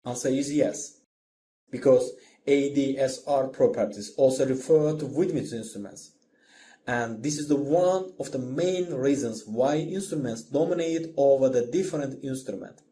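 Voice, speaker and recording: A man's voice: mid-range voice, normal speed, warm tone. A man narrating a scripted lesson as a music instructor, explaining step by step in simple phrases.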